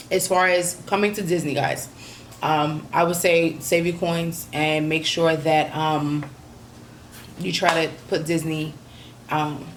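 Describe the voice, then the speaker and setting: A woman catches her viewers up on her trip in a loose, rambling vlog style, filling gaps with ums and you-knows. Her voice sits on the lower side and sounds a little hoarse. A faint hum runs underneath. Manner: relaxed, chatty, many ums and you-knows. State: slightly hoarse. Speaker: vlogger. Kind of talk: casual vlog recap.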